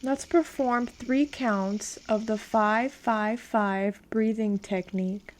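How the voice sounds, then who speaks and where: A woman leads a guided meditation at a very slow pace. Her voice sits a little high, and she gives each instruction in a calm, measured manner. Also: a little hiss or hum.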